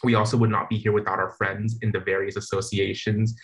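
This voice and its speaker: A man's voice: on the low side, level tone, brisk. A young man from the San Francisco Bay Area giving an earnest, formal acceptance speech over a video call from a plain indoor room, with a few small restarts. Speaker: award recipient. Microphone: video call webcam.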